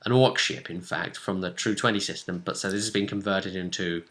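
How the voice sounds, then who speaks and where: Bright, forceful British man recapping a game. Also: low-pitched, brisk. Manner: animated retelling, acting out characters' lines. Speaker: tabletop role-play game master and co-host. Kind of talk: casual chat recapping a game session.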